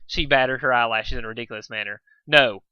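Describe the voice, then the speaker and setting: A man's voice: mid-range voice, steady tone, rapid-fire, pushed and bright, smooth, no room sound, muffled recording. A man reading fan fiction as an informal dramatic storyteller, often stumbling over his words.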